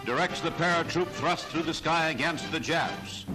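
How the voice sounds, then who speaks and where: Very loud music plays under an American man narrating a 1940s newsreel. His voice sits fairly high, and he declaims each phrase with drama. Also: normal speed, rough recording.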